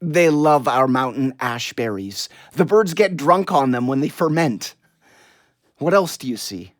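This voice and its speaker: Actor as a lively, firm doctor. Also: male voice, medium pitch, no echo, studio quality, lightly acted. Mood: calming. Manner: instructive, brisk, firm. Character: harried, caring older family doctor, a father. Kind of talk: audio play acting.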